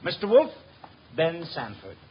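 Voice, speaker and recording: An actor playing a brash, wisecracking detective's assistant in a 1950s radio mystery, breezy and slangy. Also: male voice, a little high, wildly swooping pitch, measured pace. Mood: breezy, amused. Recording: dull, noisy old radio recording.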